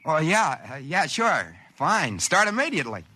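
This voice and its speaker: An actor playing an excitable ordinary man in a 1950s science fiction radio drama, narrating in a theatrical, wry way. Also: on the high side, a little slow, heavy background noise. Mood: hesitant, eager. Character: excitable ordinary man narrating his sudden good fortune. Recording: old radio show with music behind.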